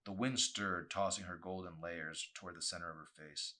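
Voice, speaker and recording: A man reading a novel aloud as expressive narration, with an animated, emphatic delivery. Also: sits low, normal speed. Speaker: author reading own novel.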